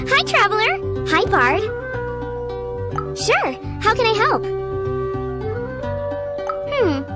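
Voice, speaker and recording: A woman's voice: very high voice, sing-song, extremely slow, pauses often, exaggerated voice. A woman voicing a sweet, earnest, dreamy little girl in a video game, with a childlike, innocent and chirpy delivery. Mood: cheerful. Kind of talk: video game character acting. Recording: music behind the voice.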